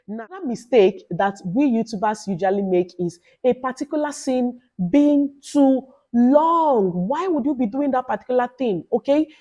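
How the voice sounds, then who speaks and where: A Nigerian woman with a loud voice and a Nigerian accent gives informal advice as a vlogger, in an emphatic, instructive way with repeated phrases. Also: mid-range voice. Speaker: YouTuber giving advice to new creators. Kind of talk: informal advice vlog with Nigerian Pidgin asides.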